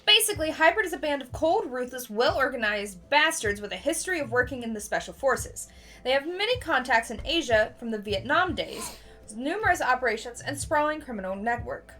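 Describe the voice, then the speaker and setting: Bright-voiced woman reading and chatting through a game. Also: high voice, very animated, fairly quick. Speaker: host playing a board game on a livestream. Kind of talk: casual play-along, reading case text aloud.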